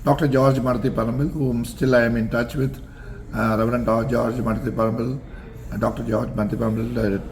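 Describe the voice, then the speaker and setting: An older man from Singapore, answering interview questions about his college years in a measured, formal way with frequent pauses and fillers. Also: mid-range voice, a little mellow, a little hiss or hum, low-quality recording. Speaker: interview guest, alumnus.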